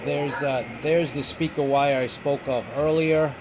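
A man giving a casual hands-on product demo as a radio hobbyist, with a halting, hesitant delivery. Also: a little high, steady tone, noisy background. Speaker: amateur radio hobbyist reviewing gear.

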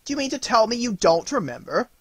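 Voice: mid-range voice, big pitch swings, fast talker, fairly bright tone, slightly rough, no echo, pitched above the performer's own voice. A reader voicing an eccentric old godfather who blusters and exclaims. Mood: blustering. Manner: blustering, exclaiming, repeats himself. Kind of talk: audiobook character reading.

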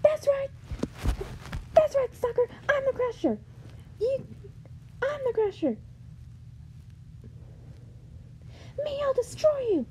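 A woman voicing a psychopathic male villain, shrill and ranting, in an amateur comic dub. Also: very animated, measured pace, warm tone, a little hiss or hum, exaggerated voice. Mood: gloating. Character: raging psychopathic male villain in a war machine.

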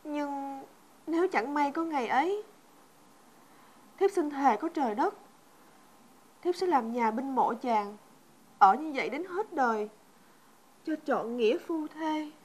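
An actress playing a tender, devoted young wife in a folk-tale period drama, speaking with formal, emotional pleading. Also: female voice, high-pitched, animated, slow pace, long pauses, low-quality recording. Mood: solemn, tender. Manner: pleading, emotional, formal old-fashioned address.

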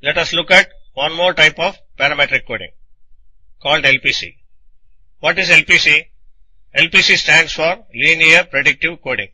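A man narrating a scripted technical lecture in a deliberate, didactic manner, explaining things in short phrases. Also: on the high side, bright, forceful tone, slightly rough, no echo, rough recording.

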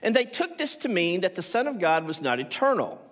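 An older man lectures on theology from a stage podium in a big auditorium. His voice sits a little higher than most men's. He breaks from his script now and then for asides and rhetorical questions. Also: big pitch swings, brisk, fairly bright tone. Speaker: theology professor giving a conference talk. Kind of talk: academic lecture at a church conference. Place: stage of a large auditorium with a seated audience. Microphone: podium microphone.

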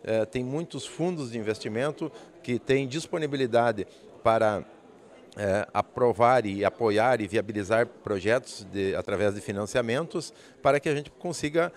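A man, giving an interview answer in a halting, hesitant way. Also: mid-range voice. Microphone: handheld interview microphone.

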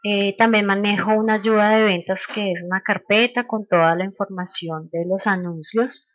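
Lady doing an informal training webinar, kinda halting, checks in a lot, dry muffled lofi sound. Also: medium pitch, dry recording. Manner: halting, explanatory, with rhetorical check-in questions. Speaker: sales trainer. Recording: dull, lo-fi online call audio.

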